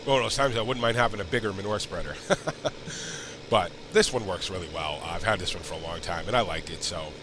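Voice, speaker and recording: A man's voice: deep voice, fast. A man with a congested, sick-sounding voice, chatting in a rambling way while he plays and comments on a farming game. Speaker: gaming channel host. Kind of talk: casual let's-play commentary. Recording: loud game engine noise underneath.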